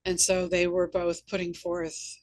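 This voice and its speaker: A woman's voice: on the low side, monotone, a little slow, a little mellow, dry recording. A woman hosting a conversational interview, chatting and sharing anecdotes. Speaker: interview host and novelist.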